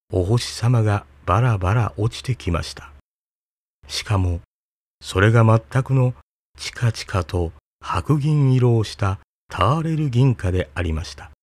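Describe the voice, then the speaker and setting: A man reading a fairy tale aloud in a calm, even storytelling voice. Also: on the low side, no room sound.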